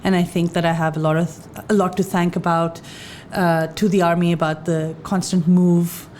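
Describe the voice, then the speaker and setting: A woman's voice: on the low side, little pitch movement, moderate pace, a little hiss or hum. A woman from Pakistan with a Pakistani English accent, a podcast guest talking candidly and joking in casual chat. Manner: candid, joking, laughs brightly.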